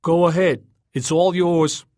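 Brash, mocking young working-class man, played by a male narrator, raised pitch, coarse storybook dialogue. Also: fairly even intonation, unhurried, mellow, dry recording, studio quality, lightly acted. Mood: derisive. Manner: derisive, jeering, coarse. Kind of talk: audiobook character dialogue.

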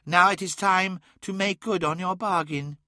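A man's voice: high-pitched, steady tone, moderate pace, pushed and bright, no room sound, clean studio sound, pitched above the performer's own voice. A man playing an ancient, boastful demon god, speaking in a grandiose, taunting and commanding way in a fantasy audiobook. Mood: taunting, menacing.

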